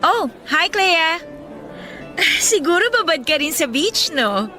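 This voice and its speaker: A woman's voice: extremely high pitch, big pitch swings, slow pace, pushed and bright, full character voice. A Filipino woman playing a popular, confident and blunt older schoolgirl in a radio drama, breezy and gushing in casual Taglish. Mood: breezy, friendly. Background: background music.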